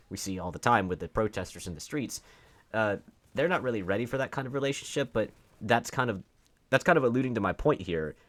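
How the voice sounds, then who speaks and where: A man with a fairly low voice delivers opinionated online commentary. His manner is argumentative and sarcastic, and he leans on rhetorical questions to press his case.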